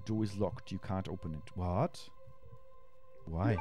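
A German-accented man in his thirties streams a horror game, chatting and thinking out loud into a headset mic in a small room at home. His voice sits fairly low. Faint music plays under his talk. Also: takes it slowly. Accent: German-accented English. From Austria. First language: Spanish. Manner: chatty, thinks aloud, reads game text, answers chat. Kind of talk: casual let's-play commentary. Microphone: headset mic at a desk.

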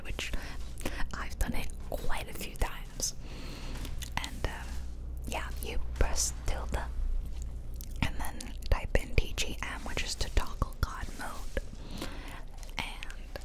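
A woman whispering gently and chattily in ASMR style close to the microphone as she hosts a game playthrough. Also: low-quality recording. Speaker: gaming video host. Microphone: close microphone, ear to ear.

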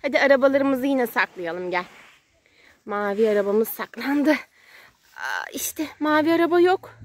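High-pitched woman in slow, sing-song child talk. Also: sing-song. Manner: sing-song, simple repeated phrases and questions. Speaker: parent playing with a young child on a kids' channel. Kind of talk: playful narration of toy play for a small child. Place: outdoors at a sand and gravel pile.

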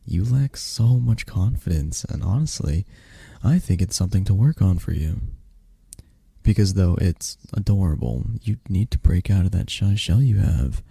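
A man voicing an affectionate, teasing young male dragon in an intimate ASMR roleplay, speaking in a soft, gentle, drowsy murmur. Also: deep voice, expressive, normal speed, vocal fry, slightly rough, no echo, heavily acted. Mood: gently encouraging. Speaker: roleplay audio performer.

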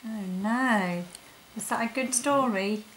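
A woman helping a young child read aloud, encouraging and prompting with simple questions in a gentle guided-reading style. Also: a little high, takes it slowly. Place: indoors in a furnished room, seated beside child. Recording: noisy room ambience.

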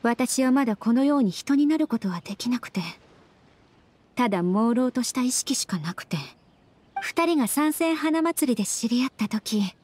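A woman voicing a gentle, wistful spirit in human form for an anime game, soft, polite and hesitant, often breathy. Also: on the high side. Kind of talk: Japanese anime game voice acting.

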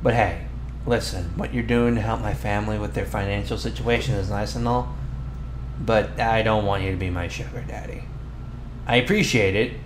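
A young man playing a flustered, tough-talking bully with a soft crush in an ASMR boyfriend roleplay, stammering and halting bashfully. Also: fairly low pitch, fairly even intonation, close to an everyday voice. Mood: tender, sincere. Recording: roomy echo, noisy ambience behind.

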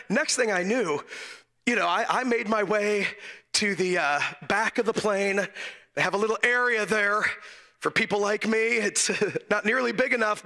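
Hoarse, bright-voiced man preaching in a church. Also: high voice. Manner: animated, laughing, gesturing while telling a story. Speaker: guest preacher. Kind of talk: storytelling sermon intro. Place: large church sanctuary, on the platform. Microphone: handheld mic.

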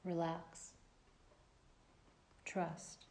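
A woman yoga teacher guides a relaxation practice in a fairly low voice with noticeable creak. She gives calm, gentle instructions in short measured phrases, leaving long pauses between them. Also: slight background noise.

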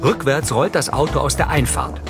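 A man's voice: mid-range voice, normal speed. Man, mid-range voice, matter-of-fact scripted scene narration, music underneath. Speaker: audio description narrator.

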